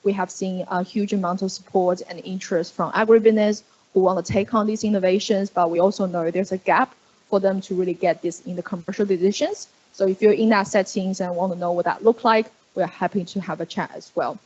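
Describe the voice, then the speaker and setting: A woman's voice: steady tone, brisk, darkish tone. Woman presenting, muffled room mic. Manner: hesitant, many fillers, upbeat pitch. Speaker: conference presenter. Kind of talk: conference talk. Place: conference room.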